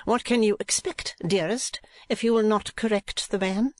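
A narrator voicing a haughty, pouting middle-aged businesswoman wife, imperious and sharp-tongued, in an audiobook. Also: medium pitch, no echo, voice pushed up, lightly acted. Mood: pouting reproach. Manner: imperious, brisk, put-upon.

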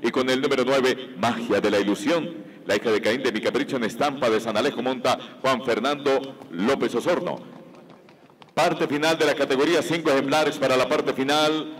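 A man announcing entries at a horse show, listing each entry in a steady, formal cadence over the PA. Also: medium pitch, moderate pace, slight background noise. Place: large indoor horse show arena. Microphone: PA system. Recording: long hall reverb over arena speakers.

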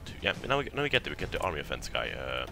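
A man's voice: rumbling low, big pitch swings, racing, gravelly, over music. A young man with a faint Italian accent giving rambling, casual commentary while playing a strategy game, laughing often and talking to the enemy. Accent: Italian, softened by years in Sweden. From Italy. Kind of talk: casual let's-play commentary.

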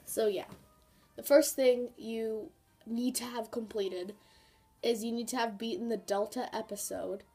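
A woman's voice: fairly high pitch, steady tone, unhurried, dark tone, breathy edge, faint music behind. Chatty woman with a cold, game walkthrough. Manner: chatty, rambling with fillers and asides. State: has a cold, sniffling. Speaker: gaming YouTuber. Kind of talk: casual game walkthrough commentary.

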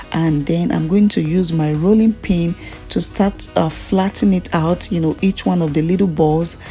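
Low-pitched Nigerian woman, cooking vlogger. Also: mellow. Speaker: food vlogger. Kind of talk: casual cooking tutorial narration. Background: background music.

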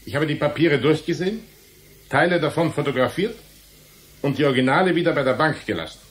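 An actor playing a stubborn, defensive journalist in a radio play, explaining himself in a deliberate way. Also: male voice, a little high, natural voice. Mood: confessing. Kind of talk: German radio play acting.

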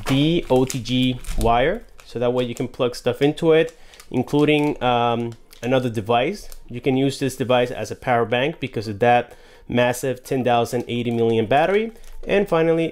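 A man's voice: medium pitch, darkish tone. A man narrating a casual unboxing and review, explaining things enthusiastically to viewers as a tech reviewer.

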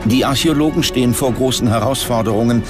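A man's voice: medium pitch, quick pace. A man reading scripted documentary narration as a narrator. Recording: music behind the voice. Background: background music.

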